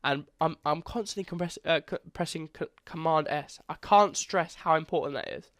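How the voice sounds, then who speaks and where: A young man with a stuffy, congested voice walks viewers through a beginner coding lesson in a loose, chatty way, often restarting his phrases. His voice sits a little high for a man, and the recording is dry and close with no room sound. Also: normal speed, a bit bright, no room sound. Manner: casual, chatty, self-correcting. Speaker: coding tutor. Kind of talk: screen-recorded coding tutorial.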